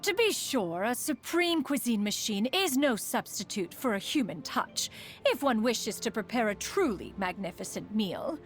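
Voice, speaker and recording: A woman's voice: on the high side, sing-song, normal speed, soft background music. A woman playing a proud, aloof immortal adept who secretly cares, speaking in formal, archaic phrases as a video game character. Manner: haughty, archaic formal speech, refers to self as "one".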